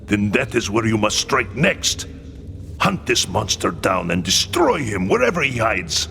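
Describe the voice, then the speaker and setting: Gravelly, vengeful commander. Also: male voice, fairly low pitch, big pitch swings, measured pace, pushed and bright, a little hiss or hum, full character voice. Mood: urgent, commanding. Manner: commanding, intense, stubborn. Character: gruff, vengeful, impatient male military commander. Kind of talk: dramatic video game cutscene acting.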